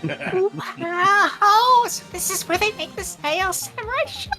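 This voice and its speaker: A woman's voice: extremely high pitch, animated, slow, pushed and bright, soft background music, full character voice. A woman voicing a perky, polite teenage girl assistant in a video game, eager and earnest. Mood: excited. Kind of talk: live fan voice-over of a video game.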